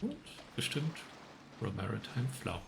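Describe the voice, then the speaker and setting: Creaky-voiced man casually streaming a game. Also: mid-range voice, huge pitch swings, normal speed, background noise. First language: German. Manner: musing aloud in short reactions. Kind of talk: casual playthrough commentary.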